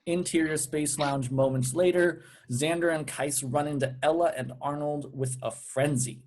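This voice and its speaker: Slightly high-voiced man reading stage directions. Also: fairly even intonation, normal speed, darkish tone. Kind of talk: scripted narration at a table read.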